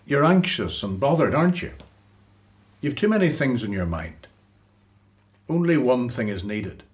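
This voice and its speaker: A man playing a gentle, authoritative religious teacher in a radio play, speaking with deliberate, mild admonishment. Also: medium pitch, sing-song. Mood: gently admonishing.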